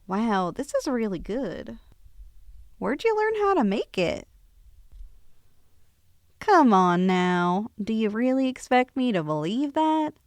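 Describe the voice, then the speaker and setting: A woman acting a sweet, bashful young woman in an ASMR roleplay, speaking softly and hesitantly with affection. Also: mid-range voice, dry recording, clean studio sound. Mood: delighted, affectionate. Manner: soft, hesitant, affectionate, sighing. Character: sweet, bashful young woman shrunk tiny, tenderly confessing feelings.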